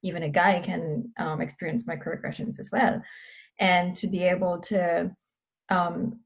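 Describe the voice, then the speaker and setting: A woman speaks in a relaxed panel chat with a gravelly, husky voice that sits somewhat low. She talks thoughtfully, often pausing on fillers as she works through her points. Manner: thoughtful, pauses with many fillers. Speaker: researcher guest. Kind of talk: casual panel discussion.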